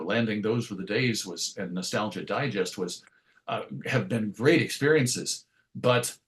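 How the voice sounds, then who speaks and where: A middle-aged man talking reflectively in an interview, articulate and measured, with a modest, self-deprecating way of putting things. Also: on the low side. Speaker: interview guest, writer and radio show host.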